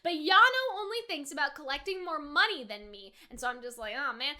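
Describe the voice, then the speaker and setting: A woman's voice: high-pitched, lively intonation, brisk, sharp tone. A woman host giving casual anime reaction commentary in a chatty, slangy way, acting out bits as she retells them.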